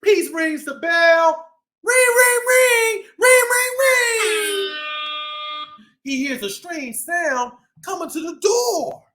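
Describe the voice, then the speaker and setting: Male kids' read-aloud host: excitable and exclamatory, slow dramatic phrasing, swooping intonation. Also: very high-pitched. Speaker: children's storytime host and reader. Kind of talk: animated picture-book read-aloud for kids.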